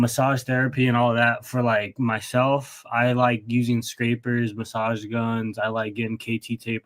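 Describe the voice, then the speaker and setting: Young adult male athlete from Texas, mid-range voice, courteous and earnest, conversational interview answers. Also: mid-range voice. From Texas, United States. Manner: polite, often says yes sir, earnest. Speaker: college baseball player, interview guest. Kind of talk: casual sports interview.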